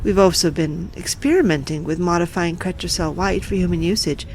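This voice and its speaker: A woman playing an authoritative, coolly persuasive occupation official in a science fiction audio drama, formal and measured. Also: on the low side, darkish tone, a little hiss or hum, natural voice, rough recording. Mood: smooth, self-assured.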